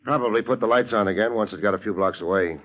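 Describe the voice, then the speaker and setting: An actor playing a wry, hard-boiled private detective with an American accent, in a dry, laconic 1950s radio noir style. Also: male voice, lowish voice, fast, dull audio, natural voice. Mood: dry banter. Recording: vintage radio recording, music underneath.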